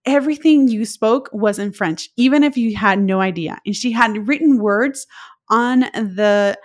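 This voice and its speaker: A woman's voice: a little high, unhurried. A young adult woman from Puerto Rico, a native Spanish speaker, telling her own language-learning story in a reflective solo podcast. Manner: reflective, storytelling with personal anecdotes. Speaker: podcast host.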